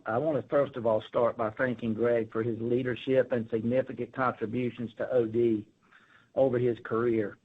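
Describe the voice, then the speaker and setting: A man's voice: mid-range voice, breathy edge. A man, a company executive, reading a scripted earnings call presentation in a formal, businesslike way. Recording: narrow, tinny phone line.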